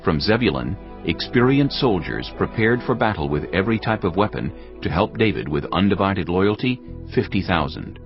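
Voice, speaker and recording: Very deep, expressive male scripture narrator. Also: normal speed, lo-fi sound. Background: background music.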